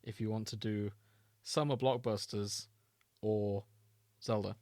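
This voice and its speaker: A man's voice: fairly low pitch, fairly even intonation, unhurried, dark tone, no echo, clean studio sound. British man, casual podcast co-host. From Britain. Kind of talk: casual comedic podcast chat.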